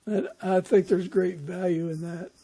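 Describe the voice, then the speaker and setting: High-pitched, husky male podcast host. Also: steady tone, moderate pace, dark tone. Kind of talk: interview conversation.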